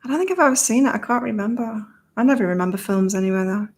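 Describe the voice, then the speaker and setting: A woman hosting a casual psychic livestream, slightly croaky, reading viewers' comments aloud and laughing often between card readings. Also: fairly high pitch, very fast. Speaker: psychic reader and channel host.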